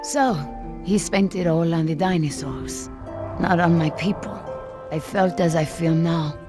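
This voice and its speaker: Over loud music, an actress plays a proud island woman with a fairly low voice and a Latin American Spanish accent. She speaks earnestly and deliberately, wistful and guarded, in a dramatic game cutscene. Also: close to an everyday voice. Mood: sad, resentful.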